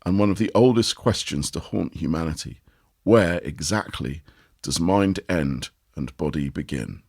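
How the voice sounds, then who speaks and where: A man giving a measured, expressive reading of a long article aloud. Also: sits low, creaky, husky, no room sound, studio quality. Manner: measured, expressive reading with lively rise and fall. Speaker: reader of an article. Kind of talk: read-aloud article narration.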